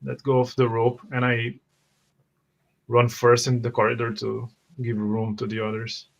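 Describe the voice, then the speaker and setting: Guy playing a tabletop rpg, gravelly voice, kinda hesitant, thinks out loud. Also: mid-range voice, completely flat delivery, a little slow, lo-fi sound. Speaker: player. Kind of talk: in-character roleplay.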